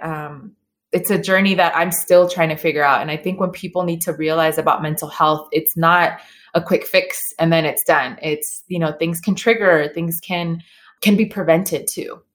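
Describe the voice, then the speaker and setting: A woman in her thirties, born in El Salvador and raised in Los Angeles, speaking earnestly as an interview guest with frequent fillers and restarts. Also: on the low side. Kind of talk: conversational interview.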